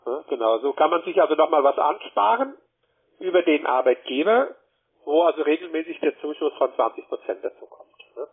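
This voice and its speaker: A man giving a wordy telephone sales pitch, often adding a short checking question. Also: animated, fairly quick, slightly rough. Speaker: telemarketer. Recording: muffled narrowband phone line.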